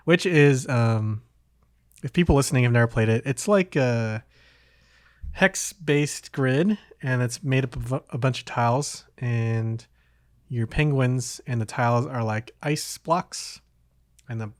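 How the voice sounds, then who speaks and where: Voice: mid-range voice, dry recording, studio quality. A podcast host chatting casually, with hesitant, tentative phrasing.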